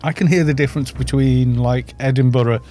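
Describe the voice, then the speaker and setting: A man's voice: mid-range voice, fairly even intonation, a little fast, mellow. A man in his fifties from North Wales with a North Welsh accent, chatting casually and rambling while he leads a game group on a live stream. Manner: chatty, rambling, chuckles often, reads chat aloud. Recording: faint background music.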